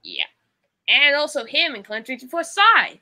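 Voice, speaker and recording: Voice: high-pitched, big pitch swings, normal speed, pushed and bright. A speaker chatting casually on a video call while playing a guessing game. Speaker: guest in an online puppet show.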